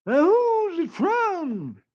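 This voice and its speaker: A man voicing an excitable, nosy woodland animal in a drawn-out falsetto, in an old radio comic-strip reading. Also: exaggerated voice. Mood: eager curiosity. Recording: dull, noisy vintage radio recording.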